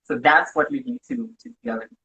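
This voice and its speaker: A woman's voice: low voice, sing-song, fast, creaky, sharp tone. A woman from Zimbabwe, earnestly telling her story as a guest on a live video chat, often tagging "right?". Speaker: community organizer, guest. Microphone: phone or laptop mic on a live stream. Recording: dull lo-fi livestream audio.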